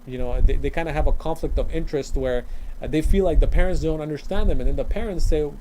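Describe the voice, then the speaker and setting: Mellow-voiced man, hesitant and talkative. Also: fairly high pitch, fast, slight background noise, poor audio. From Afghanistan. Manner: hesitant, with many fillers and restarts. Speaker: community worker guest. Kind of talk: conversational interview answer.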